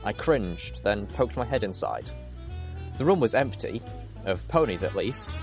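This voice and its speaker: A man with a fairly low voice reads an adventure story aloud. He narrates it dramatically in the first person, as an audiobook reader, with music playing behind him. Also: lively intonation, unhurried, a little mellow.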